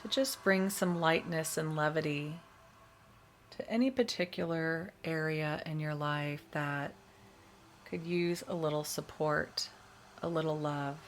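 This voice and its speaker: A woman leading a guided meditation, giving soothing, measured instructions with long pauses between phrases. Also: low-pitched, a little hiss or hum. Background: meditation music.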